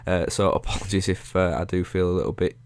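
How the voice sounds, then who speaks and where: A man's voice: deep voice, fairly even intonation, quick pace, clean tone, dry recording. A man in his twenties, sniffly from hay fever, chatting casually and rambling as he answers viewer questions. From England. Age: 20s. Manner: rambling, self-correcting, chatty. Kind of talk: casual Q&A chat.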